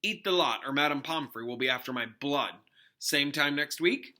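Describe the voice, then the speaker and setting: A storybook wizard teacher, acted out by a man, caring but guarded. The voice is forceful and very bright, a little high. He mentors in a measured, firm way. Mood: kindly firm.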